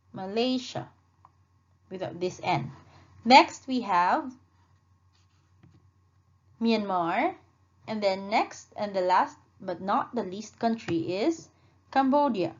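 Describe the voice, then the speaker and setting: A woman teaching a lesson, speaking slowly, repeating words and correcting herself as she asks the class questions. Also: medium pitch. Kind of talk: classroom lesson with read-aloud dialogue. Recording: dull lo-fi sound.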